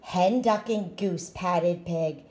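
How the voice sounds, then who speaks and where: A woman reading a children's picture book aloud in an expressive, deliberate way. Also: lowish voice. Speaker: storytime reader, school staff.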